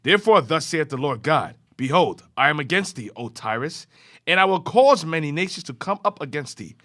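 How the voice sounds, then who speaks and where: A man reading scripture aloud in a class, with a steady, formal reading cadence. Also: on the high side, brisk.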